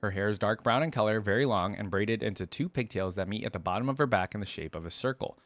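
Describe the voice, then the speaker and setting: Low-voiced man, dry close sound. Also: fast, fairly bright tone, no room sound. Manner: musing, wondering aloud. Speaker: reaction video host. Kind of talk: casual reading aloud with commentary.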